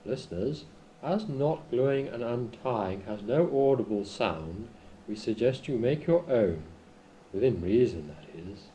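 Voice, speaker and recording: Casting: man playing mock-formal comedy radio announcer, dark relaxed tone, lively intonation, amateur reading on faintly hissy tape. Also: mid-range voice, measured pace, close to an everyday voice. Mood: mock-solemn. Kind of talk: scripted comic radio narration, amateur reading. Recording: slightly noisy old home cassette.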